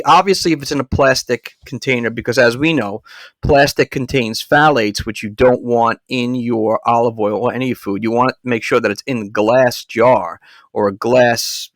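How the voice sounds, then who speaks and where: A man's voice: mid-range voice, dry recording. Fired-up man ranting into a dry mic. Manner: animated, repeats words and restarts, fired-up. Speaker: podcast host. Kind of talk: casual solo podcast rant.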